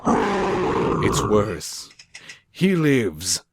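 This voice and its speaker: A man's voice: on the low side, wildly swooping pitch, extremely slow, heavy background noise, heavily acted. A man playing an obsessed, arrogant scientist in a grandiose, theatrical amateur audio drama. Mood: horrified. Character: obsessed, arrogant scientist who creates a monster.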